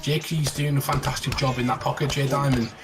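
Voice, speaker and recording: A man co-commentating a live fighting game match, quick with exclamations. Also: medium pitch, background noise. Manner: fast, animated exclamations. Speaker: esports co-commentator.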